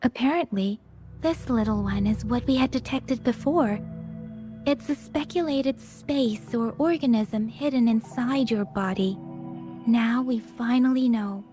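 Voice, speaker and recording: A high-pitched, helpful young woman from a video game, played by a voice actress. She explains things patiently and in measured phrases, with soft music playing underneath. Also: natural voice. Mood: patient, explaining.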